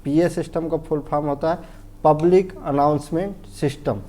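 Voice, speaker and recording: Mellow-voiced Indian man teaching, slightly high-pitched. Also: fairly even intonation, moderate pace. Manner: didactic, repetitive, often checks understanding and asks himself questions. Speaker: fire safety instructor. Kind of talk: informal technical lesson, explaining step by step.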